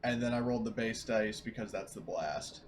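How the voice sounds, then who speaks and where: A man's voice: on the low side, moderate pace, crowd noise. A man talking casually as a player in a tabletop roleplaying game. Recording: clearly noisy background.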